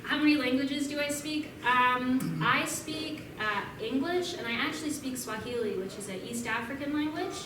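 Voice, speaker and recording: A woman's voice: high-pitched, expressive, normal speed, few pauses. A young woman from Vermont giving a casual talk and answering questions, chatty and upbeat, with frequent ums. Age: young adult. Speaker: guest speaker at a club meeting. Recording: echoey room from a distance, noisy.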